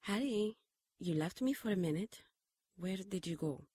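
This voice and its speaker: A woman's voice: mid-range voice, expressive, moderate pace, warm tone, husky, dry recording, studio quality. A woman playing a hero's earnest, caring companion and narrator in a radio drama. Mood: concerned.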